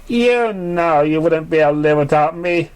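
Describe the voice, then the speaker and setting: Man acting a teasing stallion pony pal, pitched up. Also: moderate pace, a little mellow, smooth, natural voice. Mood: playful, teasing. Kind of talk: amateur storybook character reading.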